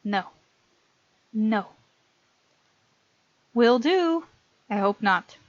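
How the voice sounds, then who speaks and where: A woman's voice: a little high, animated, very slow, no echo, dull audio. A woman hosting a gaming video, reading game text aloud and breaking off to comment playfully.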